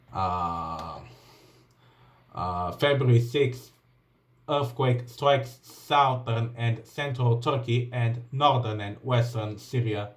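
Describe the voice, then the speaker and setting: A man with a Bulgarian accent, English not his first language, reading a list of events from a laptop with frequent ums and uhs. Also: medium pitch. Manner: hesitant, many ums and uhs, restarts while reading. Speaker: vlogger.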